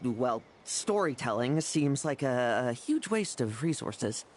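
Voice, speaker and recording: A man's voice: medium pitch, very animated, takes it slowly, slight background noise. A man playing a cocky, teasing, irreverent younger warrior in a video game, with a breezy, wry delivery. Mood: wry, curious. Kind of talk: video game character acting.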